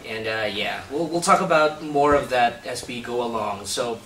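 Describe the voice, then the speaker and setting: A man's voice: medium pitch, animated, normal speed, a bit bright. Filipino guy hosting a talk show, lots of uhs, roomy echo, mic kinda far. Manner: chatty, hesitant, lots of uhs, switches to Tagalog. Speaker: host. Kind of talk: casual talk show interview. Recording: roomy echo, mic at a distance, faint background hiss.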